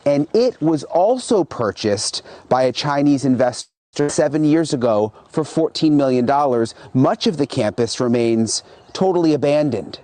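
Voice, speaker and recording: A man narrating a television news package as reporter in a measured voice. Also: on the high side, a little mellow, dry recording.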